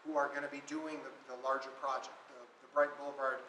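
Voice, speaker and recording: Loud-voiced man presenting in an echoey room. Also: mid-range voice, little pitch movement, fast, recorded from a distance, a little hiss or hum. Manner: loud voice, many ums and restarts. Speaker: city project manager presenting. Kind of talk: public meeting presentation. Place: meeting room in an events complex. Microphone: walking away from the microphone.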